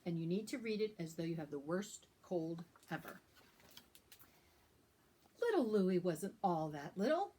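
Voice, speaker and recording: Slow-paced woman hosting children's storytime. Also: on the low side, very animated, warm tone, husky. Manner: simple, deliberate phrasing with questions to young listeners. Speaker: children's librarian and storytime host. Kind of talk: children's storytime presenting and read-aloud.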